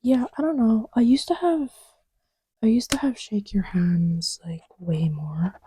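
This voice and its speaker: A woman playing an easygoing girlfriend in a soft ASMR roleplay, rambling hesitantly in a breathy murmur that often drops to a whisper. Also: medium pitch, unhurried, slight background noise, natural voice. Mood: relaxed, musing. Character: easygoing girlfriend chatting idly while testing markers.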